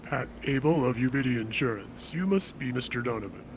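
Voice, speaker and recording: Radio drama salesman, played by a man: medium-pitched male, courteous and persuasive, quietly reassuring about grim business, some noise behind. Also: animated, close to an everyday voice. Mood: polite, businesslike. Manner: measured, persuasive, politely solicitous. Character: smooth-talking, reassuring male death insurance salesman. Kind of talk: audio drama dialogue.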